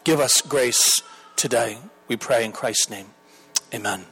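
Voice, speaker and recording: A man's voice: mid-range voice, a little slow, creaky, fairly bright tone, husky, rough recording. A man in his thirties, a pastor, earnestly introduces a guest at church and leads a prayer, rambling with restarts.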